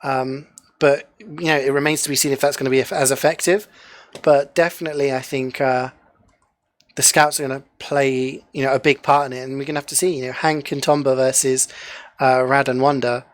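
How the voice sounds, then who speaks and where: A man's voice: mid-range voice, flat delivery, a little fast, dry recording. Chatty male esports caster. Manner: chatty, heavy use of "you know" fillers, joking. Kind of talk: casual live game commentary.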